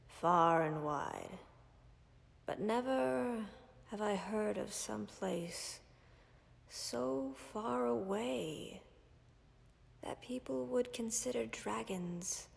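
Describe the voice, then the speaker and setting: A woman voicing a calm, ancient female dragon who tends a wounded human, speaking in a whispery, gravelly, measured and formal way in an audio roleplay. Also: mid-range voice, in a large hall, heavily acted. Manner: measured, formal, dryly commanding.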